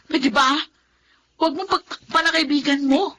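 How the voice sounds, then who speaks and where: Woman voicing a jealous young woman, brash, mocking, bright sharp voice, radio drama. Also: high-pitched, slight background noise. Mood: taunting. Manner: taunting, mocking, quick to insult.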